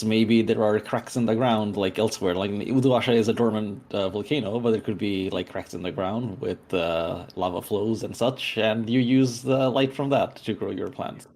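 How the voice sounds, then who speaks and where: Lowish-voiced man brainstorming casually. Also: a little mellow. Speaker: tabletop roleplay player. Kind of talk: casual group worldbuilding chat.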